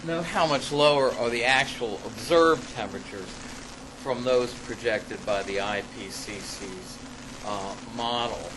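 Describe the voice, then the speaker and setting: A man reading an academic paper aloud in a classroom as a lecturer, halting and deliberate, stressing key words and adding spoken asides. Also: mid-range voice. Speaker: lecturer presenting own paper. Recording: clearly noisy live classroom recording.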